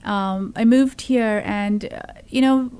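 A woman's voice: medium pitch, takes it slowly, dark tone, smooth. A woman in her thirties from Zambia, a podcast guest telling her story in casual talk, earnest, with many fillers and restarts. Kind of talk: casual conversation.

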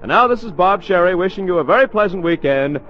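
Lively, higher-voiced 1940s American radio announcer, male. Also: quick pace, heavy background noise. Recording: dull, lo-fi 1940s radio broadcast.

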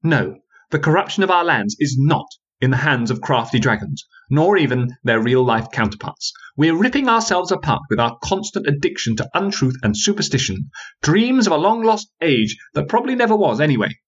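A man voicing a sharp, skeptical young woman lawyer who speaks in a cutting, coolly dismissive way. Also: a little high, very animated, moderate pace, breathy edge, muffled recording, pitched above the performer's own voice. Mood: scornful.

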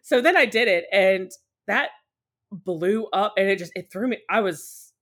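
A woman's voice: medium pitch, measured pace, fairly bright tone, breathy edge. A woman talking casually and candidly as a podcast guest, at length and in a conversational way. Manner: talkative and candid, often acting out short quotes. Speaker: podcast guest, podfic creator.

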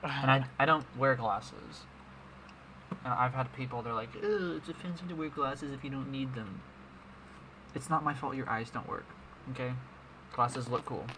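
A man co-hosting a casual podcast chat, animated and quick to stumble over his words. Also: medium pitch, noisy background. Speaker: podcast co-host.

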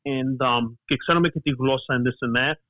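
A man's voice: medium pitch, brisk, no room sound, lo-fi sound. A man speaking as a podcast guest, talking in a chatty way with lots of likes and you-knows. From United States. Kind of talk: casual podcast conversation.

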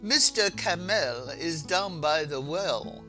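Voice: mid-range voice, lively intonation, bright, forceful tone. Snooty storybook butler, acted by a narrator, imperious and condescending, over prominent music. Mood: haughty. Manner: haughty, clipped, slow and dismissive.

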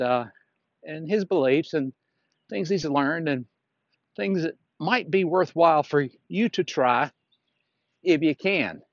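A man's voice: on the high side, dry recording, dull audio. A man talking casually on a solo podcast as a fitness instructor, explaining things in a plainspoken, frank manner.

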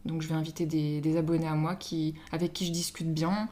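A French woman with a slightly low voice talks as a guest in a relaxed conversational interview. Her delivery is informal and chatty, and she often voices her own thoughts as quotes. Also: brisk. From Paris, France. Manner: informal, chatty, self-quoting. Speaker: interview guest, social media influencer.

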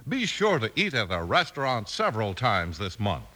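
A man's voice: on the low side, huge pitch swings, normal speed, heavy background noise. An American man reading a vintage radio advertisement as announcer, friendly and persuasive, talking to children. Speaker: commercial announcer. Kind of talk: 1950s radio advertisement read.

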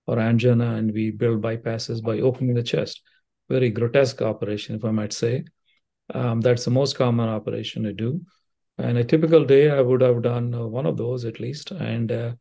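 An older man with an Indian accent explains things in a measured, unhurried way, often pausing on fillers and restarting phrases. His voice has a gravelly, fry-laden edge and a mellow, dark tone. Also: mid-range voice. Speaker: cardiothoracic surgeon, guest. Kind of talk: interview answers, explanatory.

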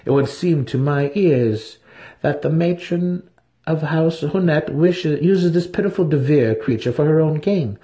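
A man voicing a suspicious, mocking female dark elf council matron, amused and probing, in a fantasy audiobook. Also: fairly high pitch, unhurried, pitched above the performer's own voice, lightly acted. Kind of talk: dramatic fantasy audiobook reading.

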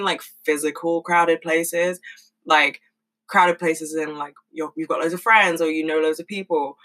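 A woman in her twenties talking casually and frankly, swearing a lot and rambling with many fillers, in a fairly monotonous voice. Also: deep voice, poor audio. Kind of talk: casual monologue.

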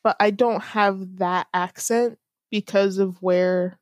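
Slow-talking young woman, dry close sound. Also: mid-range voice, fairly even intonation, dry recording. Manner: slow, laughing, thinks aloud with many fillers. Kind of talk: casual chat.

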